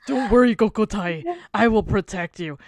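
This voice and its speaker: A woman voicing a protective, steady older brother in a firm, mock-serious comic reading. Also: mid-range voice, normal speed, dry recording, clean studio sound, voice pushed down, lightly acted. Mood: reassuring, firm.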